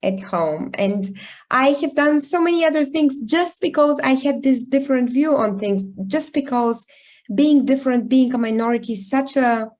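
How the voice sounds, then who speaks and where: A woman's voice: high-pitched, a little mellow. Reflective woman. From Bulgaria. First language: Bulgarian. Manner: reflective, thoughtful, with frequent pauses and ums. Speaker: guest speaker, founder. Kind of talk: candid personal storytelling in an online talk. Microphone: video call.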